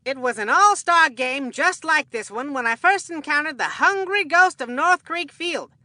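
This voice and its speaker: A woman voicing an excitable, food-loving boy baseball commentator in a cartoon game, with a folksy, earnest showman delivery. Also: high-pitched, normal speed, no echo. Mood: dramatic storytelling.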